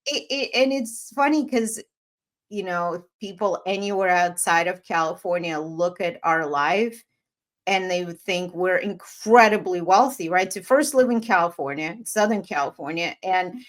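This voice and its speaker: A woman co-hosting a podcast in casual chat, telling stories in a chatty, animated way and laughing often. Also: fairly low pitch, measured pace. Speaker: podcast co-host.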